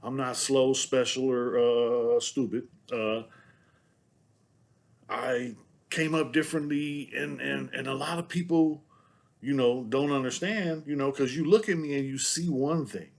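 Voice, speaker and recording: A man's voice: medium pitch, fairly even intonation, unhurried, gravelly. A middle-aged man from San Diego with a Southern-sounding accent, talking to camera in a loose, rambling monologue full of filler tags. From San Diego, California. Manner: rambling, loaded with "you know what I'm saying" tags. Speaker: vlogger.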